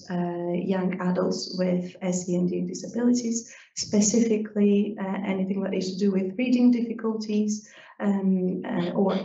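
A woman's voice: lowish voice, warm tone, a little hiss or hum. Greek woman lecturer, echoey hall. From Greece. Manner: measured, hesitant with frequent ums. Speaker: lecturer in education. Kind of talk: panel discussion. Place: university seminar room with audience. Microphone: handheld microphone. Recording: echoey room from a distance, dull sound.

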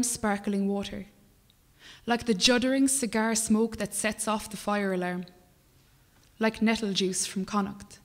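Irish woman poet reciting in a reverberant hall. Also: medium pitch. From Ireland. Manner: measured, rhythmic recital, dropping to whispers. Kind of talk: poetry reading. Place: small brick-walled performance venue, indoors. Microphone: stand mic at a lectern.

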